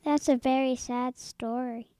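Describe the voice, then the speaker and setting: A woman's voice: high-pitched, steady tone, a little slow, warm tone, smooth. A woman playing a shy, sweet, wide-eyed little girl in an audio drama, speaking with hesitant wonder.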